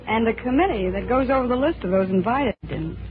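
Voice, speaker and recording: A woman's voice: a little high, animated, brisk, lightly acted. An actress playing a wistful working-class young woman in love with her boss, in 1940s radio drama style. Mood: shy, amazed. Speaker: actress narrating and playing lead. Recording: tinny vintage radio broadcast, music behind.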